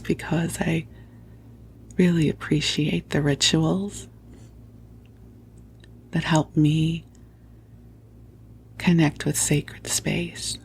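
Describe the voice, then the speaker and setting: A woman whispering softly and tenderly into a close microphone as she hosts a spiritual sleep podcast, soothing and affectionate. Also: low-pitched, a little hiss or hum. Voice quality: hushed, breathy whispering. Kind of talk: whispered guided sleep talk, spiritual meditation. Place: at home under a blanket.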